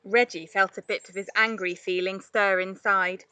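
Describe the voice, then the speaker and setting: A woman reading a children's story aloud in an expressive narrating style. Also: mid-range voice, normal speed, clear, resonant tone, no echo. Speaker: storybook reader, play therapist.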